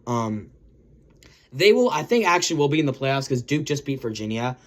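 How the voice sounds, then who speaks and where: A slightly hoarse man talks through football results from a home mic, his voice a little worn from yelling. He rambles, restarts sentences, and keeps calling out to his viewers as guys. His pitch sits in a normal middle range for a man. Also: sing-song, normal speed. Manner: rambling, self-correcting, lots of "guys" and "um". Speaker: sports video host. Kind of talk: casual sports recap commentary.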